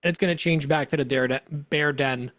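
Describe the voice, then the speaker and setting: A man co-hosting a podcast, telling stories in an animated, giggly way. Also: a little high, a little fast, no echo, clean studio sound. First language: Polish. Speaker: podcast co-host. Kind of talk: casual chat.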